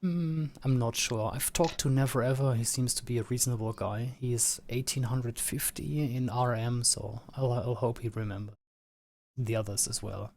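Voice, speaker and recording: A man's voice: mid-range voice, steady tone, moderate pace, creaky, background noise. German-accented man casting a game. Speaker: esports commentator. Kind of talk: casual game commentary. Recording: game music playing behind.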